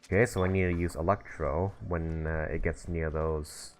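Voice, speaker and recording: A man with a very low voice talks through a video game on a livestream. He is casual and halting, working out his thoughts as he goes, with game music playing behind him. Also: steady tone. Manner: halting, thinking aloud. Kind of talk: casual live gameplay commentary.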